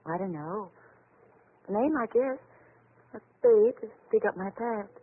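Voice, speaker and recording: A woman's voice: medium pitch, expressive, a little slow, slightly rough. An actress playing a frightened, mysterious young female amnesiac client in 1940s radio style, breathless, earnest and melodramatic. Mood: uncertain. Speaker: actress in a radio detective series. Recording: tinny, noisy 1940s radio recording.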